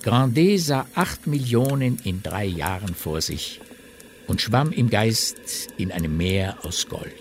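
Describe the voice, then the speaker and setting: A man with a fairly low voice narrates a literary radio play. He reads scripted prose, with slight noise in the background. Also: expressive, takes it slowly.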